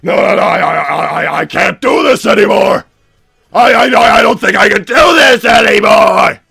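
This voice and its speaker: A man voicing a hammy, short-tempered mad-scientist villain on a comedic livestream, blustering and theatrical with a gravelly rasp. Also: sits high, lively intonation, moderate pace, faint music behind, exaggerated voice. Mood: distraught. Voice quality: gravelly, raspy shouting.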